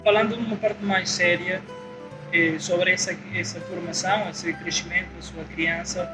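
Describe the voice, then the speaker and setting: A man whose first language is Portuguese asks formal interview questions in a measured way, slipping between Portuguese and Spanish. His voice sits a little high, and guitar music is clearly heard underneath. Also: level tone, low-quality recording. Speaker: interviewer for Portuguese teaching program.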